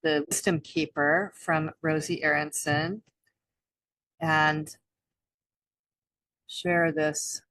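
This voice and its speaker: A woman co-hosting a spiritual talk, speaking haltingly with many restarts and soft laughs. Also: deep voice, level tone, no room sound, rough recording. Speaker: co-host. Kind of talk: spiritual teaching chat.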